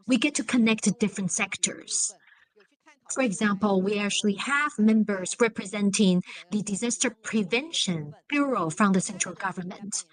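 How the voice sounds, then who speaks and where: A woman speaking as a seminar moderator, giving formal closing remarks in measured, halting phrases. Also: medium pitch, poor audio.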